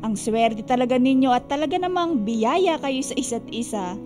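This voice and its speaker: An actress playing a friendly, pious older neighbour in a Filipino radio drama, effusive and cheerful. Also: female voice, high voice, lively intonation, moderate pace, darkish tone, faint music behind. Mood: gushing, admiring. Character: friendly, pious older neighbourhood churchgoing lady, gushing praise.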